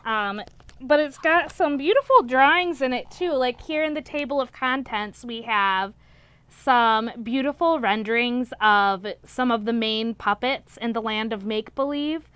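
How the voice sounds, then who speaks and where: A woman's voice: on the high side, a little slow, a bit bright, clean tone, dry recording. A young woman chatting casually and enthusiastically as a vlog host, gushing and repeating words for emphasis. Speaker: book reviewer, vlog host. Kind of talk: casual book vlog chat.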